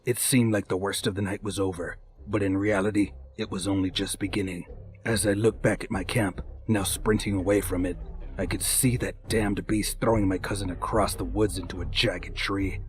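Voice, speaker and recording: A man's voice: low-pitched, steady tone, normal speed, lightly acted. A man playing a wry camper on the run, narrating a horror story in a dry storytelling manner. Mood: tense, wry. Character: wry male camper fleeing a werewolf cousin.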